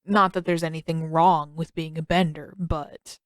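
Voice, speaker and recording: A woman's voice: deep voice, steady tone, moderate pace, dry recording, studio quality, lightly acted. A woman voicing a casual, awkward teenage boy in a story, speaking in a hesitant, offhand way.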